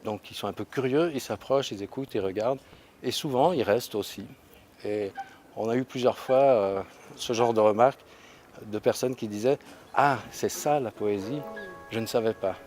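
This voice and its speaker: A man's voice: medium pitch, moderate pace, darkish tone, a little hiss or hum. A man organizing an event, speaking earnestly in an interview and repeating small words as he builds his point.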